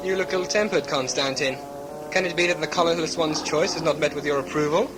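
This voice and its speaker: A man's voice: on the high side, fairly even intonation, a little fast, lightly acted. Sneering hot-headed warrior, acted by a man, music behind. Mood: taunting. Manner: sneering, archaic formal diction. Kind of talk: audio drama acting.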